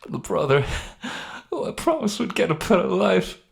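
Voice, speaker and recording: A man playing a wounded, guilt-ridden criminal in a narrated story, halting and pained, with a gravelly, emotion-strained voice. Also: high-pitched, sing-song, pitched above the performer's own voice, heavily acted. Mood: grieving, bitter. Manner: halting, rueful, with sighs and bitter weak laughs. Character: wounded, guilt-ridden criminal mourning his dead brother. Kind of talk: dramatic monologue in a narrated story.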